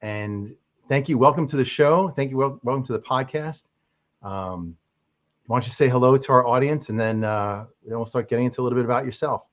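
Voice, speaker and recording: A man's voice: medium pitch, brisk. Male podcast host, dull lo-fi audio. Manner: friendly, loose, with fillers and restarts. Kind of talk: hosting an interview podcast.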